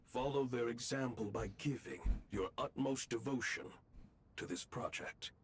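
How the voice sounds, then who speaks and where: A man's voice: mid-range voice, a little slow, lightly acted. A man voicing a solemn, demanding ancient spirit in a video game, grave and exhorting.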